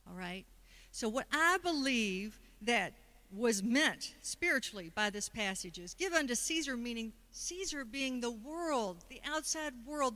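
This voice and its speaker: A woman speaking to a congregation in a relaxed, conversational way. Her voice sits a little high and sounds bright and forward. She keeps asking her listeners questions as she goes. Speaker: speaker at a Sunday service. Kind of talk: sermon talk.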